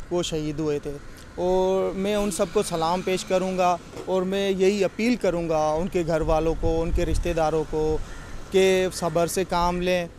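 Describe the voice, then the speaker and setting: A young male lawyer giving an earnest, halting appeal in an outdoor street interview beside a car park. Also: sits high, background noise.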